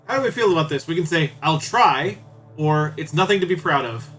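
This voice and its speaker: A man's voice: fairly high pitch, animated, fairly quick, fairly bright tone, faint music behind. A man doing casual live game commentary, chatty and playful as he talks to his viewers. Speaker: live streamer.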